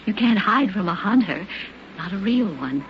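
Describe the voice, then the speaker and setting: Through a muffled, noisy old radio recording comes an actress playing a gentle young woman resigned to her fate. Her mid-pitched voice is halting and wistful, in vintage radio drama style. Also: lively intonation, lightly acted. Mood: resigned, sad. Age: 20s.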